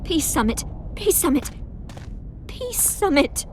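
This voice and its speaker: A woman's voice: sits high, big pitch swings, slow, slight background noise, full character voice. A woman playing a crazed, traumatised survivor in a video game, raving and repeating herself. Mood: deranged. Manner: raving, repetitive.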